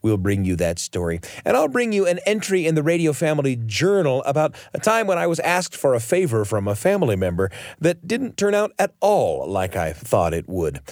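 A man's voice: medium pitch, darkish tone, no echo, studio quality. A man hosting a radio show with scripted storytelling narration and dramatic emphasis.

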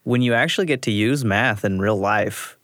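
Low-voiced man chatting casually in studio. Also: normal speed, fairly bright tone, no echo. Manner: informal, peppers talk with "like". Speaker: assistant producer, on-air panelist.

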